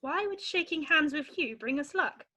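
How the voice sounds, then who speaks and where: A woman's voice: high-pitched, lively intonation, normal speed, sharp tone. A woman playing an anxious, earnest young girl in an amateur musical read-through, with a childlike manner. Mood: worried, earnest.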